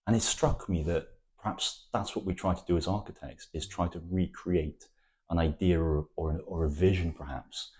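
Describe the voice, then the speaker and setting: A man from Wales whose first language is Welsh, an architect giving thoughtful, poetic interview answers with frequent pauses and restarts. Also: low-pitched, fairly even intonation, darkish tone, breathy edge.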